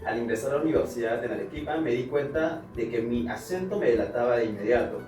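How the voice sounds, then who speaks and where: A young man reading a case study aloud to a student group in a steady way. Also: medium pitch, fast. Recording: distant echoey room with music.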